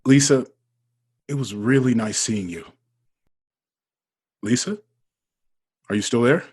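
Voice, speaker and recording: A man acting in an audio drama as a nervous, smitten businessman, with a hesitant, self-doubting delivery and a husky voice. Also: medium pitch, takes it slowly, darkish tone. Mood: nervous, eager. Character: nervous, smitten businessman talking himself into phoning a married woman.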